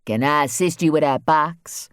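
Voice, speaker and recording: A woman voicing a calm, courteous male butler. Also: low-pitched, moderate pace, clear, resonant tone, dry recording, clean studio sound, lightly acted. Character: polite, attentive male butler.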